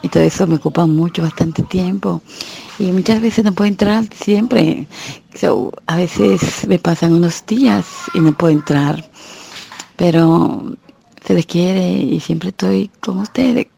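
A woman with a Dominican accent speaks in a very low, gravelly voice. She talks slowly and rambles through a devout greeting. Her tone is dark and mellow. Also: slight background noise. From Dominican Republic. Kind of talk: voice message to a church group.

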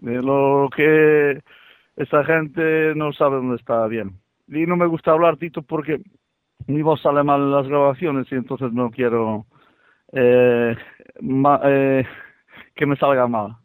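A man's voice: medium pitch, fairly even intonation, slow pace, clean tone, dry recording. A man with a Galician accent speaking informally and hesitantly in a religious discussion, a little self-conscious.

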